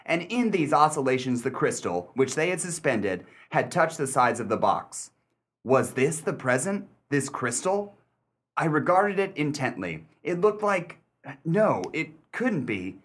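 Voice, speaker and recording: A man acting as a shrewd, sardonic gentleman narrator in a mystery radio play, speaking with deliberate, theatrical emphasis and dry wit. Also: a little high. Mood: amazed.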